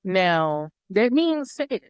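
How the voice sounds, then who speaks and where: A woman's voice: medium pitch, sing-song, very slow, clear, resonant tone, no room sound, clean studio sound. A woman guest on a podcast, telling a personal story in a reflective way and often repeating and rephrasing herself. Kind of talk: casual storytelling chat.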